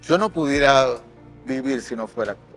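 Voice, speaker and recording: A man's voice: medium pitch, normal speed, a bit bright, faint music behind, poor audio. Impassioned Venezuelan man in his sixties. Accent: Venezuelan, from Maracaibo. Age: 60s. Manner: impassioned, emphatic, with repetitions for effect. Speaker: actor and theatre director, interview guest. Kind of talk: personal interview testimony.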